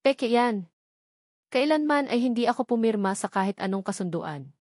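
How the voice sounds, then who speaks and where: A woman's voice: fairly high pitch, lively intonation, moderate pace, a little mellow, no room sound, studio quality. A woman voicing a betrayed, furious young heiress in an audio drama, accusing and emphatic in manner. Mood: shocked denial.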